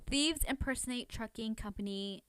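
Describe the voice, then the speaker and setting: A woman's voice: a little high, normal speed, smooth, no room sound. Rambling, informal woman podcaster in dry sound. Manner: informal, rambling, self-questioning, filler-heavy with frequent "like". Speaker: podcast host. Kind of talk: casual chatty true crime podcast commentary.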